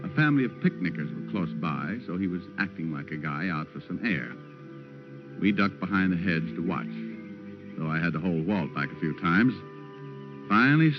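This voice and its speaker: An American actor playing a wry, hard-boiled private detective who narrates an old radio crime drama in slangy style. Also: male voice, sits low. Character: wisecracking hard-boiled male private detective, cool and sardonic. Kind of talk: 1940s-50s radio detective drama acting. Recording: old muffled radio recording with music.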